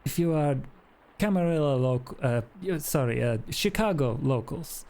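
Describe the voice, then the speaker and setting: A man acts out a shady male vampire wheeler-dealer for a tabletop game. The voice is gravelly and mellow. He is eager to haggle and stumbles hesitantly through his offers. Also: fairly high pitch, lively intonation, normal speed. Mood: eager, bargaining.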